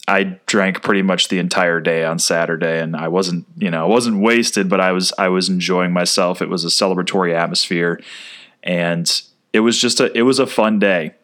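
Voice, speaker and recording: Chatty low-voiced man hosting a podcast. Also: fairly even intonation, normal speed, a bit bright. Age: 30s. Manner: chatty and rambling, with many "uh" fillers and restarts. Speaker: sports podcast host. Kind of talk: casual solo podcast monologue.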